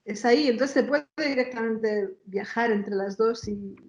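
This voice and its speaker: A woman's voice: on the high side, unhurried, a crowd in the background. Casting: woman composer in casual interview, fragmented phrasing with tag questions, echoey and slightly muffled sound. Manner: informal, broken phrases, frequent tag questions. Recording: echoey room, dull lo-fi sound.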